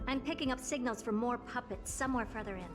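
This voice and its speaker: A woman's voice: fairly high pitch, quick pace, close to an everyday voice. An actress playing a haughty, theatrical woman genius who makes puppets, pompous and self-assured in a scripted video game style. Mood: composed, intrigued. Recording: music behind the voice. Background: game music.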